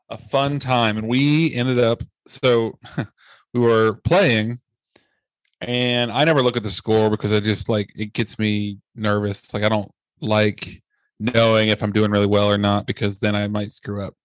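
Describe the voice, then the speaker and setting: A man's voice: lowish voice, flat delivery, a little slow, dry recording. A man co-hosting a podcast, telling a story casually with a rambling, hesitant delivery. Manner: rambling, hesitant, many fillers and restarts. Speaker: podcast co-host.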